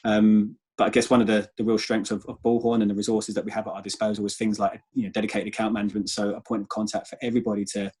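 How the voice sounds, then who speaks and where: A man speaking as a guest in a conversational business interview, measured and often repeating words as he goes. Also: fairly low pitch, little pitch movement, darkish tone, slightly rough. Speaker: interview guest, sales manager.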